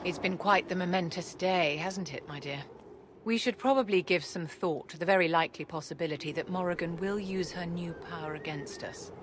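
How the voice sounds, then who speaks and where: An actress playing an imperious, elegant aristocratic enchantress in a video game, speaking in a poised, condescending, formal way. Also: female voice, lowish voice, noisy background, close to an everyday voice. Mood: poised, calculating. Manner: poised, haughty, condescending endearments, measured formal speech. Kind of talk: video game cutscene acting.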